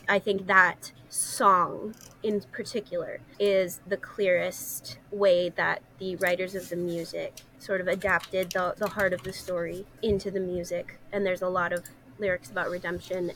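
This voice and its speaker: A woman's voice: fairly low pitch, sharp tone, low-quality recording. A woman talking casually and earnestly as a podcast panelist, explaining things in conversation. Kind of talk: casual discussion. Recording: noticeable background noise.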